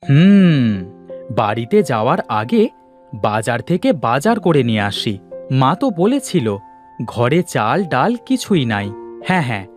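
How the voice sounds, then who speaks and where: Animated, obliging village lad acted by a man. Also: mid-range voice, takes it slowly, soft background music. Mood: thinking aloud. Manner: obliging, expressive. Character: polite, helpful, hardworking village young man.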